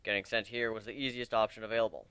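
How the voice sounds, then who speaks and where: Man acting weary male warrior, low pitch, grave, commanding, terse, deliberate, story reading. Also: little pitch movement, pushed and bright, clear, resonant tone, dry recording. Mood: grave, brooding.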